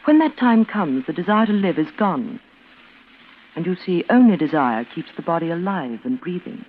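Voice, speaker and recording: A woman's voice: on the low side, sing-song, normal speed. Cold, bitter woman in tinny old radio drama. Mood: aloof, resolute. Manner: clipped, aloof, severe. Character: cold, bitter reclusive twin sister, not old. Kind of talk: old-time radio suspense acting. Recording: noisy, tinny vintage radio broadcast.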